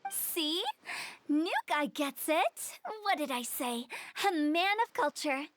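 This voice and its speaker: A woman's voice: sits high, expressive, slow pace, dry recording. A woman voicing a playful, theatrical young female character in a video game, with a showy, teasing, confident manner. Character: playful, theatrical, cocky young female funeral director and poet.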